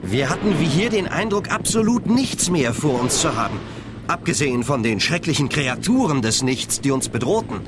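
Young adventure hero: earnest, dramatic, very lively intonation, radio play with engine noise behind. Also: male voice, on the high side, moderate pace. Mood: awed, dramatic. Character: young male action hero, earnest and inquisitive. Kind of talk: children's sci-fi radio play acting. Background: helicopter noise.

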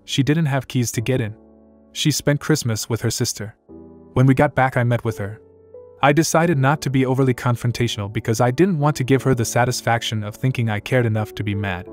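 Guy in his 40s reading a post out loud, calm and even, quiet music behind. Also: medium pitch, dry recording. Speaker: narrator. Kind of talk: reading a first-person post aloud. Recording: soft music underneath.

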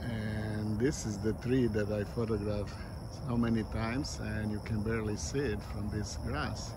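A man on a park walk, describing what he films in a slow, halting way, with many fillers. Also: lowish voice, fairly even intonation. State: panting in the heat. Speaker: nature vlogger. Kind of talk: casual walking commentary. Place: outdoors in a grassy park by a pond. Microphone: camera mic, speaker off screen. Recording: outdoor noise and wind.

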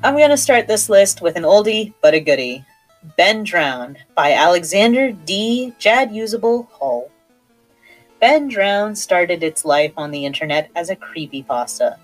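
A woman's voice: fairly low pitch, expressive, a little slow, faint music behind, poor audio. A woman hosting a horror podcast, talking in a conversational, playful and enthusiastic way. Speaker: podcast host.

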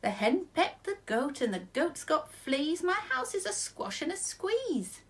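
A woman voicing a flustered, grumbling little old lady from a storybook, pleading and exclaiming with drawn-out words. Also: very high-pitched, big pitch swings, pitched above the performer's own voice, heavily acted. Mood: distressed.

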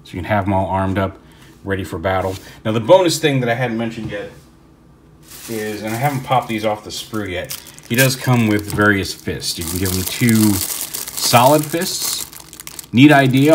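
A man's voice: lowish voice, slight background noise. A man talking casually through a hands-on toy review, rambling with fillers and restarts, recorded indoors at a tabletop.

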